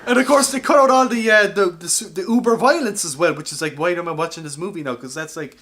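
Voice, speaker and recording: A man's voice: sits high, fast, a bit bright, a little hiss or hum. A man co-hosting a podcast, chatting casually about films and retelling scenes with plenty of swearing. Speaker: podcast co-host. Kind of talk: casual film chat among friends.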